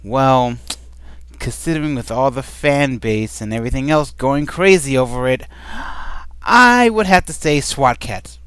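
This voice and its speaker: A man's voice: mid-range voice, animated, a little slow, a bit bright, smooth, no echo. A man talking casually into a desk microphone at home, rambling and playful as he answers questions. His voice sits in a middle range, and the recording is close and dry, with no room sound. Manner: rambling and playful, with many ums. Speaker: vlogger answering a question tag. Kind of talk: casual chat.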